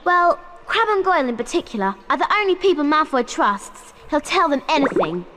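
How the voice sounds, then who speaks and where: A woman's voice: sits high, sing-song, brisk, fairly bright tone. A woman playing a clever, bossy schoolgirl witch in a video game, explaining things earnestly like a know-it-all. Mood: matter-of-fact, bossy.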